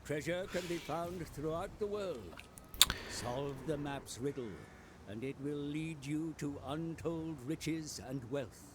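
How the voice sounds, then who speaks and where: A man's voice: on the high side, takes it slowly, noisy background. A man playing a formal, portentous undead pirate advisor in a video game. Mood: grave counsel.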